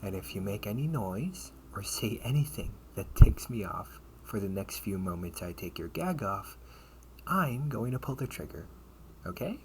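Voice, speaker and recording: A man playing a protective older brother and taunting captor in an amateur audio roleplay, sardonic and menacing, with casual, halting delivery. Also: on the low side, big pitch swings. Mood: threatening. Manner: casual, halting, mocking, breathy asides. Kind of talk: amateur audio roleplay acting, one-sided dialogue. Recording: noisy amateur home recording.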